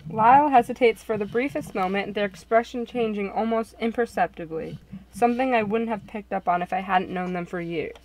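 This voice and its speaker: A woman's voice: medium pitch, fairly quick, a little mellow, close to an everyday voice, rough recording. A woman voicing the earnest first-person narrator of a visual novel, reading expressively.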